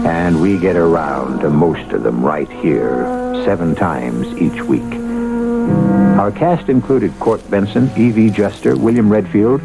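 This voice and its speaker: A man hosting a vintage radio mystery, narrating in a dramatic, portentous way with deliberate phrasing. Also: low-pitched, gravelly, rough recording. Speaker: radio drama host and announcer. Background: loud music.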